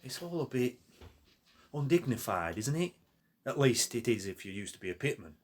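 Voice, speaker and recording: A steadfast former miner in a radio play, with a Northern English accent and a mid-pitched voice. He is plain-spoken and loyal, with a dry, wry humour. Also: sing-song, normal speed, husky. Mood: wry, matter-of-fact. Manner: plain-spoken, dryly humorous. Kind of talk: naturalistic radio play acting.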